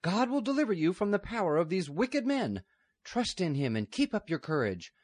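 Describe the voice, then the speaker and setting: A man's voice: high voice, sing-song, moderate pace, no echo, pitched above the performer's own voice. Storybook abbess, played by a man as an older woman, firm, dignified, solemn, dry recording.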